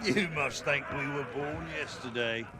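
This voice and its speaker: A man voicing a mocking, scornful skull creature in a pirate video game, with slow, drawn-out taunting. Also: mid-range voice, lightly acted.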